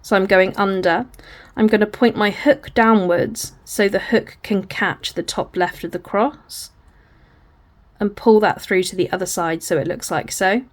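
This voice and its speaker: Woman, hoarse, patient step-by-step craft tutor, filmed at a crafting table. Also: mid-range voice, a little slow. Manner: patient, apologetic, talks through each hand movement. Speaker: crochet tutor.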